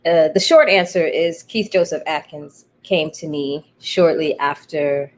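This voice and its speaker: A woman playwright speaking as an interview guest, telling her story in a candid, thoughtful, halting way. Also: low voice. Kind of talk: reflective conversational storytelling.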